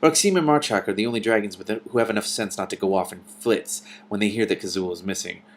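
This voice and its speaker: A man voicing a stubborn, practical young princess in a storybook, with brisk, matter-of-fact retorts. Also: on the low side.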